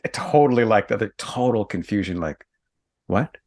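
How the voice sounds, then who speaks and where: A male podcast host with a somewhat low voice guides the conversation. He sums up his guest's points and nudges him forward with engaged prompts. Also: expressive, normal speed, a little mellow. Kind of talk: conversational interview.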